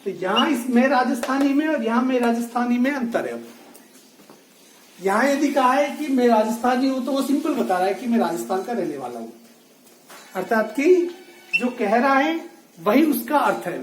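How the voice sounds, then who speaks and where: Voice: medium pitch, animated, normal speed. Teacher at the board, repeats key phrases, calls out students who drift, roomy and off-mic. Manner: addresses students directly, scolds inattention. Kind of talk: classroom lecture. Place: classroom. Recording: echoey room, voice from a distance, faint noise.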